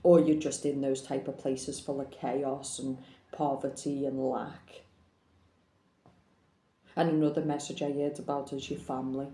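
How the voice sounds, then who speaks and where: Frank, plain-spoken female tarot reader, mellow tone, roomy recording. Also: extremely low pitch, level tone, unhurried, slight background noise. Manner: blunt, frank, swears casually. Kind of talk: casual tarot reading to camera.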